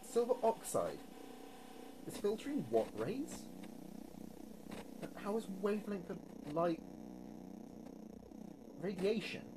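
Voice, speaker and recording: An actor playing an uneasy, earnest late-night local radio DJ in a horror audio drama, speaking haltingly. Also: male voice, on the high side, background noise. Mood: alarmed, disbelieving. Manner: halting, hesitant, addressing listeners directly.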